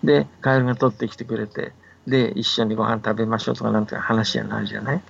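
A man's voice: fairly low pitch, steady tone, fast talker, creaky. An older man who gives casual, patient explanations as a folktale scholar, often checking that the listener agrees. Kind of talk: casual explanatory radio talk about folktales. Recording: dull band-limited audio, faint background noise.

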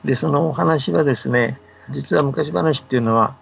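An older man with a very creaky, husky voice gives a relaxed radio talk. He explains things in a folksy way, checking in with the listener at the ends of phrases. The audio is slightly dull and lo-fi. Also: fairly high pitch, moderate pace, soft background music. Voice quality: gravelly, husky. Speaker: folklore researcher and storyteller.

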